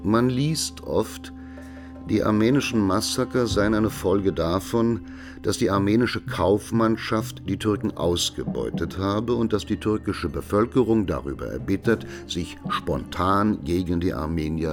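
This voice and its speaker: An actor playing a learned expert witness in a radio drama, giving a formal, deliberate, lecturing courtroom statement. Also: male voice, low-pitched, animated, over music. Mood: sober, lecturing.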